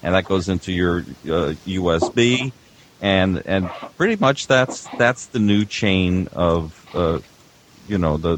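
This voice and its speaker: Older guy, real low voice, rambles thru explanations w lots of stops, bit of noise n clicks behind. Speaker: veteran audio producer and teacher. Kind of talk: casual panel discussion of audio production.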